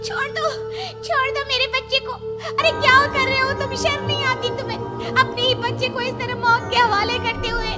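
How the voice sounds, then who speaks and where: A woman's voice: very high-pitched, flat delivery, normal speed, few pauses, over loud music. A woman playing an anxious, protective village mother in a horror cartoon story, with a fretful, pleading delivery. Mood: desperate panic.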